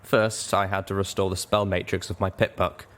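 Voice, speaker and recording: A man's voice: deep voice, little pitch movement, normal speed, a bit bright. A man reading a story aloud in dramatic first-person narration. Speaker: reader of fan fiction.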